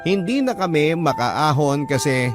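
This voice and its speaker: A man's voice: a little high, sing-song, measured pace. An actor playing a remorseful grown son, earnestly and respectfully narrating his confession in a Filipino radio drama. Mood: subdued, regretful. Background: clear background music.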